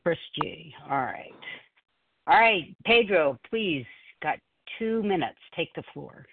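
A woman hosting a phone support meeting in a brisk, businesslike way, calling on speakers. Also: lowish voice, expressive, slight background noise. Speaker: meeting host. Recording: phone line.

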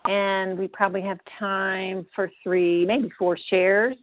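A woman chairing a meeting, brisk and organized as she calls on people to share. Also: mid-range voice, level tone, no echo. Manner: brisk and organized, calling names. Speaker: meeting leader. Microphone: phone call. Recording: tinny dry phone line.

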